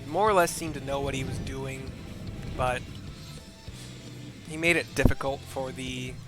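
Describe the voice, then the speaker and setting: A man hosting a gaming video, chatting casually in a halting, rambling way. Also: mid-range voice, steady tone, over music. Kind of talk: casual chat over gameplay.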